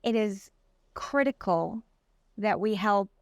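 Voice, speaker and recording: A woman's voice: mid-range voice, dry recording, studio quality. A middle-aged woman from Colombia, a guest in an interview, speaking with passion and drawing out key words for emphasis. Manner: passionate, emphatic, drawn-out stresses. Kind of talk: conversational interview.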